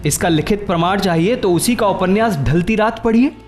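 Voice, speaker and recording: A man's voice: high-pitched, normal speed, a little mellow, soft background music, natural voice. An actor in a Hindi radio play playing a sly young man who goads and insists theatrically. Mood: insistent, persuading. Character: sly, goading young man exposing a rival's secret.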